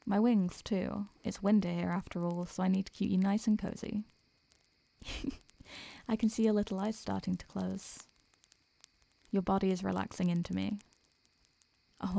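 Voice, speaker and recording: Woman voicing a mellow, creaky, tender ancient succubus. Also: medium pitch, little pitch movement, no room sound, lightly acted. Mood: tender, soothing. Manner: gentle, affectionate, unhurried, murmuring endearments. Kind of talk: intimate soothing roleplay audio, ASMR-like.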